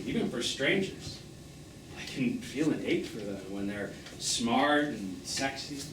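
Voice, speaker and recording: A man's voice: mid-range voice, close to an everyday voice. Male, actor as melancholy lover in a one-act stage drama. Medium register, lyrical, wounded; recorded far off amid noise. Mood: hurt, longing. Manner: lyrical, reflective, pointed. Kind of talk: naturalistic stage drama dialogue. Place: theatre stage, recorded from the audience distance. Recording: echoey, distant, noisy live theatre recording.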